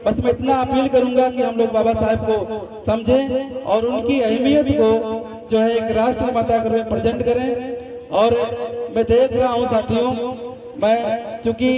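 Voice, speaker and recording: Earnest man speaking in an echoey hall. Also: extremely high pitch. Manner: earnest, appealing, with pointed remarks to the audience. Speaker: speaker at a public commemoration. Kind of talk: public speech. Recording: muffled echoey hall from far off, crowd behind.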